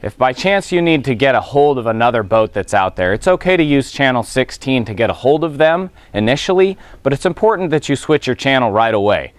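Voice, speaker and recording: A man's voice: medium pitch, very animated, a little fast, few pauses, no echo. A man speaking as a Coast Guard officer, explaining safety procedures to boaters in a plain-spoken, official manner. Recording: clear background noise, ambient sound.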